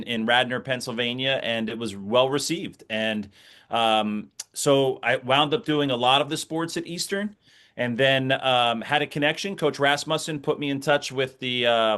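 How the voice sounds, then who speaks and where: A man's voice: medium pitch, a bit bright. A middle-aged American man, a sports announcer, speaking conversationally as an interview guest and reflecting through anecdotes.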